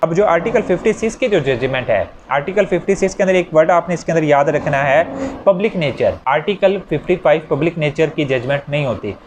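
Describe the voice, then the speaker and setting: A man's voice: a little high, lively intonation, fairly quick. A man with a Pakistani accent giving an explanatory law lecture, restating points in simpler words in a didactic way. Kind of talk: explanatory lecture with worked examples. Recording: dull lo-fi audio, faint background noise.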